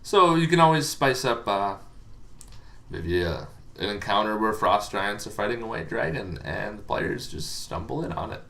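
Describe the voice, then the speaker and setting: A man's voice: fairly low pitch, expressive, normal speed, vocal fry, pushed and bright. A man hosting a casual, informal explainer on tabletop game monsters, talking in a rambling, hesitant way as if thinking aloud.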